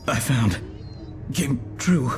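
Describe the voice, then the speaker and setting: A man's voice: medium pitch, expressive, over music. An actor playing a badly hurt, terrified man struggling to speak in broken fragments in a video game. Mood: frightened, weak. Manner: halting, fragmented.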